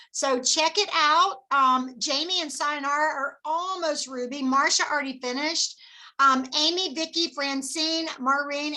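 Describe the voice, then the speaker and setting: A woman hosting a team meeting, cheering her sales team on with quick encouragement. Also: sits high, pushed and bright, low-quality recording. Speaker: meeting host.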